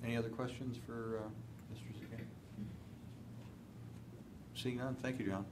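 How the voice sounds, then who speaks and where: A man's voice: mid-range voice, fairly even intonation, normal speed, noisy background. Man chairing a council meeting. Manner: brief, procedural. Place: council chamber.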